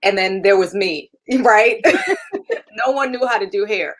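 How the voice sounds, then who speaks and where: A woman's voice: mid-range voice, unhurried, fairly bright tone. A woman in her thirties from Germany, raised speaking German, telling her life story as a guest in a casual interview, candid, with frequent laughs and fillers.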